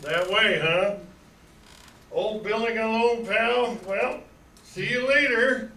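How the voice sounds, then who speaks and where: Echoey room, older guy doing muddled merry old uncle, high slow voice, radio play. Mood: tipsy, elated. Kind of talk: old-time radio drama acting. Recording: echoey room, distant mic, crowd noise.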